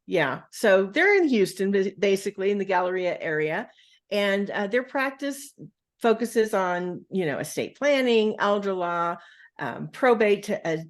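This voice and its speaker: Woman hosting a podcast, medium voice, lots of ums and restarts. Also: mid-range voice. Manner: chatty, many fillers and restarts. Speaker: podcast host. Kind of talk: casual interview hosting.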